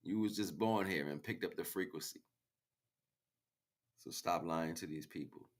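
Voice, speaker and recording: A man's voice: low-pitched, moderate pace. An American man livestreaming a freestyle spiritual monologue in a rhythmic, rhyming, slangy way. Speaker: livestream host.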